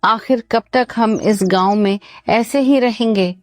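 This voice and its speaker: A woman playing a greedy, bitter village wife in a cartoon story, scolding deliberately. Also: on the high side, dry recording, faint music behind, close to an everyday voice. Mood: nagging.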